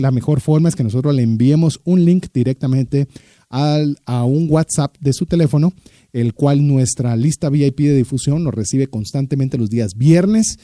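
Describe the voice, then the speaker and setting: Radio host, male, very soft dark timbre, conversational delivery, dry studio booth. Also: mid-range voice, no room sound. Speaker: radio show and podcast host.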